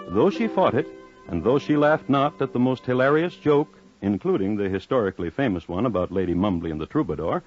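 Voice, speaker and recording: A man narrating an old-time radio crime drama as a wry, formal storyteller. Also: mid-range voice. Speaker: narrator and host. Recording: dull lo-fi old radio recording. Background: music.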